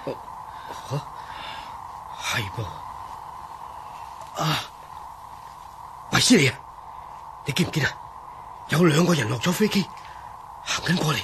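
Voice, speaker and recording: A high, swooping Cantonese voice plays an excitable, naive sidekick stranded in the desert. He is talkative and exclamatory, in radio drama style, over faint background noise. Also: a little slow, pauses often. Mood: excited, doubtful. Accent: Cantonese, Hong Kong.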